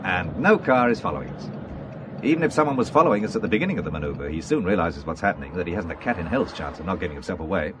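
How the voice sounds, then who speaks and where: A secretive middle-aged businessman in a radio thriller. His low voice moves with lively swings, dry and evasive, treating danger lightly. A faint noise sits behind him. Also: racing. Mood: brisk, absorbed. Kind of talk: radio drama acting.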